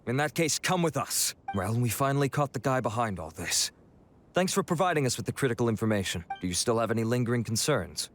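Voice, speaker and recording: An actor playing a stern, official guard officer in video game dialogue, formal and authoritative. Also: male voice, mid-range voice, animated, fast talker, a little hiss or hum, close to an everyday voice.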